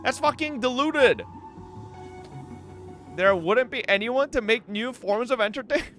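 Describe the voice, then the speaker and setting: A woman's voice: fairly high pitch, animated, unhurried. A woman doing casual livestream reaction commentary, blunt and mocking, swearing and talking back to the characters. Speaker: streamer reacting to a game story. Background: game music.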